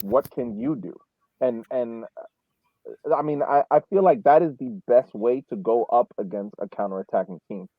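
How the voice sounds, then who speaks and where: A man with a mid-pitched voice talks through casual sports analysis in an explanatory way. The audio is dry and heavily band-limited, like a phone call. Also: moderate pace, no echo. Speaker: podcast panelist.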